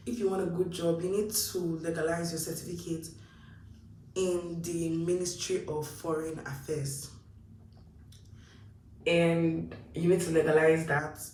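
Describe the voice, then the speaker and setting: A young woman with a Nigerian accent talks casually and animatedly, her voice sitting a little back from the mic. The room gives her speech a noticeable echo, with a faint hum of ambience underneath. She sounds like she is chatting with a friend. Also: on the low side. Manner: chatty and animated, acts out her own past thoughts. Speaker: vlogger. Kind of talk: casual advice talk to camera. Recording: roomy echo, mic a bit distant.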